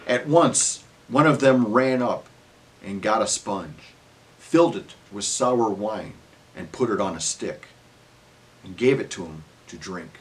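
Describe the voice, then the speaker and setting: A man narrating scripture as a solemn dramatic reading, in measured phrases that trail off at the ends. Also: lowish voice, expressive, poor audio.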